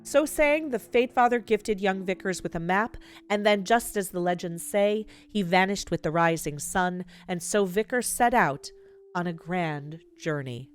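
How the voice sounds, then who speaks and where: Expressive low-voiced woman storytelling. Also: a little slow, clear, resonant tone, soft background music. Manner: theatrical, dramatic storyteller delivery. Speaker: streamer, host of a game let's play. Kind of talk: expressive storybook read-aloud of game text with excited asides.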